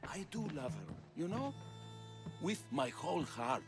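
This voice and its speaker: A man's voice: on the high side, very animated, measured pace. An actor playing a cornered, desperate older man in a video game, evasive and defensive. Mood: desperate, remorseful. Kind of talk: video game cutscene acting. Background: background music.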